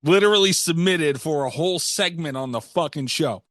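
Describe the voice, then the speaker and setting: A man's voice: fairly high pitch, lively intonation, moderate pace, no echo, clean studio sound. A man hosting a podcast in casual banter, joking with profane exasperation. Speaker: podcast host.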